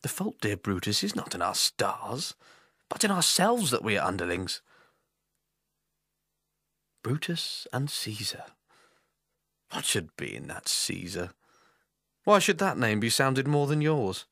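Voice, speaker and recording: A bitter Roman schemer, played by a man in a Shakespearean tragedy. He declaims slowly and persuasively, with wide swings of pitch, in classical stage manner. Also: big pitch swings, a bit bright, breathy edge, dry recording, studio quality, exaggerated voice. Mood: scornful, persuasive.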